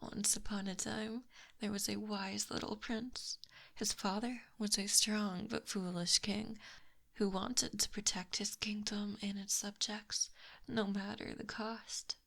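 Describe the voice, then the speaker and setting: Woman acting a possessive snake monster girl, soft breathy asmr whispering, real slow, bit of creak, teasing, super close mic. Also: medium pitch, little pitch movement, full character voice. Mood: hushed storytelling. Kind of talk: ASMR roleplay. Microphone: close binaural microphone.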